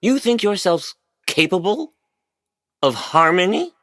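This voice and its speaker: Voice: mid-range voice, big pitch swings, a little slow, a bit bright, dry recording, studio quality. An actor playing a menacing, haughty party official in an audio play, with a pompous, theatrical and mocking delivery. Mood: imperious.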